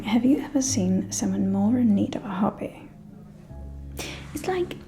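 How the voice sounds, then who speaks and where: A woman playing a catty, gossiping aristocratic vampire lady, speaking in an arch, conspiratorial manner in an ASMR roleplay. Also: on the high side. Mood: mocking. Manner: arch, conspiratorial, drawn-out, with sighs and soft chuckles.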